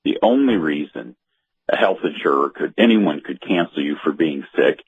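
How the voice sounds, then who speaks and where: A man giving halting political commentary as a guest on talk radio, often repeating words. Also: very deep voice, animated. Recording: muffled, narrow phone-like radio audio.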